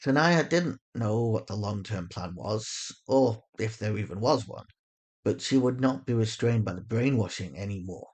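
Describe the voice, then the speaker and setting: A man reading scripted fiction as a story narrator, with measured, deliberate phrasing and dramatic pauses. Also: on the low side, expressive, a little mellow, breathy edge.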